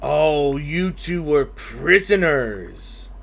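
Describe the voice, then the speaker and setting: A man's voice: fairly high pitch, lively intonation, very slow, creaky, fairly bright tone. A man giving casual playthrough commentary on a game, blunt and teasing, swearing casually. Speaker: gaming streamer.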